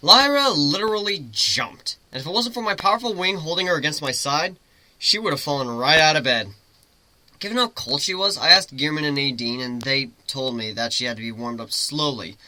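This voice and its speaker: A man giving an expressive, theatrical dramatic reading of a first-person story. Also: on the high side, fairly quick, dry recording. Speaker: amateur story reader, narrator.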